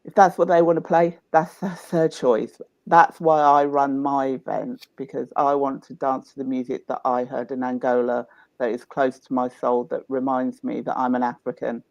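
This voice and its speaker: A man's voice: mid-range voice, level tone, moderate pace, creaky, dry recording. Passionate man, dry dull sound. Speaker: event organiser. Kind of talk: panel discussion.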